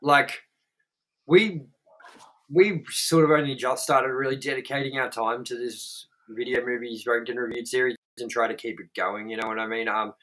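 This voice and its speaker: A man's voice: medium pitch, steady tone, normal speed, fairly bright tone, rough recording. A man co-hosting a casual podcast chat. He rambles and circles back on himself, piling on fillers and curse words as he goes. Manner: rambling, filler-heavy, frequent "like" and "you know what I mean". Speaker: podcast co-host. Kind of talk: casual chat, swearing freely.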